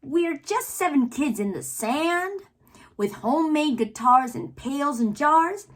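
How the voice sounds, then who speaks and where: A woman reading poems aloud for children in a theatrical way, drawing out words and pausing between phrases. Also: high voice, lively intonation. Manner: theatrical, drawn-out words with pauses. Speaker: read-aloud host, children's reader. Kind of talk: expressive poetry read-aloud for children.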